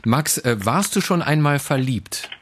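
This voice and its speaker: A man's voice: on the high side, lively intonation, a little slow, noisy background. Playful male radio interviewer. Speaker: radio show host.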